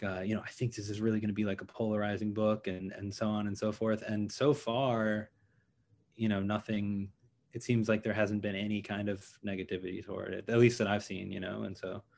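A man's voice: lowish voice, level tone, brisk, creaky. A man, a novelist answering interview questions in a modest, rambling way with many fillers.

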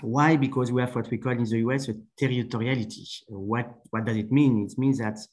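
A man from France with a French accent, an accountant answering interview questions haltingly with many fillers. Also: medium pitch, steady tone, darkish tone. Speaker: accountant, podcast guest.

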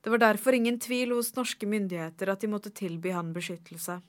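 A woman narrating a documentary report in a measured, even reading voice. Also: mid-range voice.

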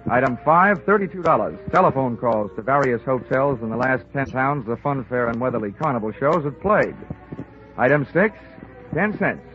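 Male actor playing hard-boiled American investigator, deadpan and wisecracking, vintage radio drama, narrow old recording with music behind. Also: mid-range voice, moderate pace, natural voice. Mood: wry, narrating. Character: wry, hard-boiled male insurance investigator narrating his case. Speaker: lead actor and narrator. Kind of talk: 1950s radio detective drama acting.

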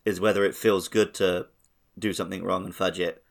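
A man's voice: low-pitched, flat delivery, normal speed. A man co-hosting a podcast, talking casually and conversationally about a video game. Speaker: podcast co-host.

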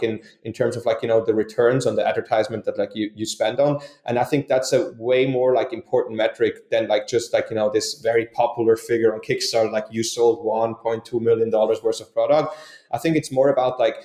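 A man's voice: medium pitch, a little mellow, reverberant. A Swiss man, a company co-founder, answering podcast interview questions in a rambling way, full of fillers and restarts. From Switzerland.

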